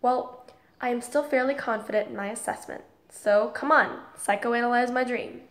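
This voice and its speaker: A woman playing a curious, eager teenage schoolgirl in an audio drama, earnest and expressive. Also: fairly high pitch, normal speed, close to an everyday voice. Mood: eager, chatty. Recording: echoey room.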